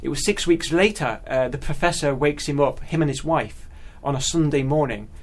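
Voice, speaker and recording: Higher-voiced man telling anecdotes. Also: moderate pace. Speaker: guest mathematician. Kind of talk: animated anecdotal storytelling in casual conversation.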